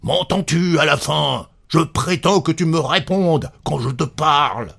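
A man playing an insistent, repetitive husband, jovial but quick to jealous fury, in a storybook reading. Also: medium pitch, very animated, gravelly, a little mellow, breathy edge, no room sound, studio quality, heavily acted. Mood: furious. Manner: insistent, repeating himself.

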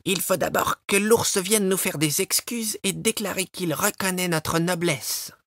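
A brood of proud little wren chicks, squeaking in a very high, gravelly, breathy voice. They sound shrill and indignant. The recording is dry and close. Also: male voice, unhurried, fairly bright tone, no room sound. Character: angry proud tiny baby wren birds. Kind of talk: storybook voice acting.

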